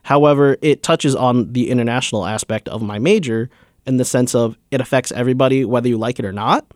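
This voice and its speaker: A man's voice: mid-range voice, fast talker, a little mellow, no echo. Earnest young man, dry sound. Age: young adult. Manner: earnest, filler-heavy with many likes and uhs. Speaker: student guest. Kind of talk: casual interview answers.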